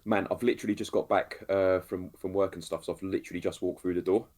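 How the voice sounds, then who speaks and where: Fast-talking husky man, friendly guest. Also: sits low, steady tone, darkish tone. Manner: friendly, effusive with praise. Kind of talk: casual livestream chat.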